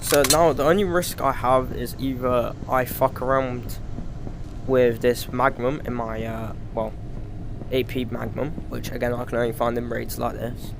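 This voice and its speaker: A man with a British accent giving rambling, casual commentary over his gameplay, swearing freely and filling pauses with "like" and "um". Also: mid-range voice, level tone, vocal fry, background noise. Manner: rambling, frequent swearing and fillers. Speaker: gaming YouTuber.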